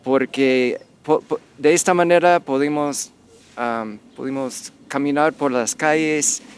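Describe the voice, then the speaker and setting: A middle-aged man chatting as a guest, giving advice in a halting way with many fillers. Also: medium pitch, slight background noise. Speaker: guest, expat parent. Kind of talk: casual interview chat.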